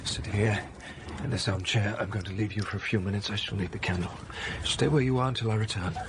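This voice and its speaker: An actor playing a brusque, brooding master of a gothic manor in a period radio drama, gravelly and commanding. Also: male voice, fairly low pitch, steady tone, a little fast, noisy background, lightly acted. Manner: commanding, abrupt.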